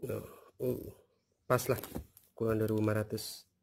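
Man, slightly low voice, casual, rambling product walkthrough into a handheld phone. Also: moderate pace, gravelly, a little hiss or hum. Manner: relaxed, chatty, many fillers and tag questions. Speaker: fishing gear reviewer. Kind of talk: casual unboxing review. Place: indoors on a tiled floor.

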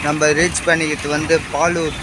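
A man's voice: a little high, little pitch movement, normal speed. A man casually narrating a bus journey from the front of a moving bus, announcing each stop as it comes. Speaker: travel vlogger narrating a bus ride. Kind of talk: casual running commentary. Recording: loud bus engine and road noise.

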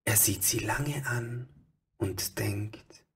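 A man narrating a children's story as storyteller, in a hushed, expressive manner. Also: fairly low pitch, very slow, breathy edge.

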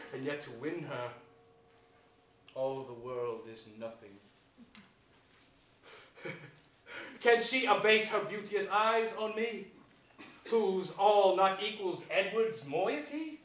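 A scheming, self-satisfied villain duke of classical theatre. The voice is high-pitched, bright and forceful, declaimed in grand verse across an echoey stage. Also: male voice, slow, long pauses, a little hiss or hum. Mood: gloating, exultant. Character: scheming, gloating villainous duke who feigns penitence. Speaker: stage actor. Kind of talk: theatrical Shakespearean stage reading. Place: stage in a theatre hall, distant camera.

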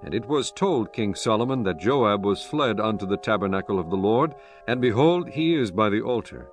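A man's voice: lowish voice, lively intonation, normal speed. A man giving scripted narration for a dramatized Bible recording, telling the story in a solemn, formal manner. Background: soft background music.

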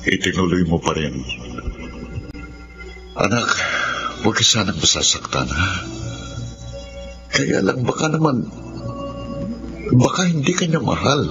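Low male voice, worried caring father, gentle, radio melodrama, music behind. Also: very animated, unhurried, long pauses. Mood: worried, tender. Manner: gentle, concerned. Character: concerned, caring middle-aged father. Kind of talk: Filipino radio romance drama acting. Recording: muffled audio under loud music.

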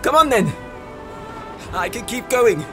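A man's voice: extremely high pitch, big pitch swings, slow pace, over music, full character voice. A man acting a wounded, devoted warrior brother in a dramatic fantasy audio roleplay, with a strained, emotive delivery. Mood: strained, defiant.

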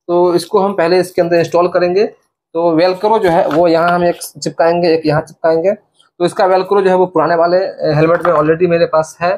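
A man's voice: on the high side, darkish tone. A young man, explaining step by step to camera in a casual vlog style. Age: young adult. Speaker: vlogger. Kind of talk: casual product installation demo to camera. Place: indoors in a small bedroom.

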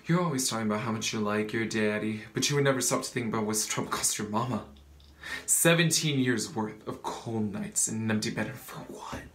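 A man's voice: on the low side, fairly quick, fairly bright tone, natural voice. A young man performing a dramatic stage monologue as a bitter, grieving, accusing family member, with emphatic repetition. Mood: accusing anger. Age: young adult. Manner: emphatic, repeating phrases. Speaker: student actor. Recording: roomy echo with background noise.

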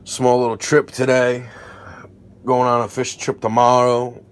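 A man's voice: mid-range voice, monotone, a little slow, smooth. A man casually narrating an unboxing vlog as the creator, indoors at a table. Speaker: fishing video creator. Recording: noticeable background noise.

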